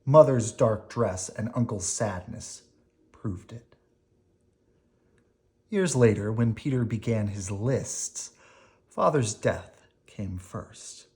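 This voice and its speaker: A person reading a children's picture book aloud expressively, with dramatic emphasis, pauses and brief explanatory asides. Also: medium pitch, lively intonation, mellow. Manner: dramatic emphasis, pausing between phrases.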